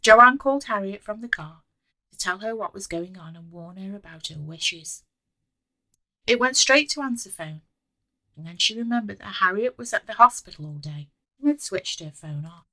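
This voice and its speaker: A woman author reading her own story aloud in an expressive scripted narration. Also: medium pitch, normal speed, no room sound, poor audio. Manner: expressive, acts out the dialogue.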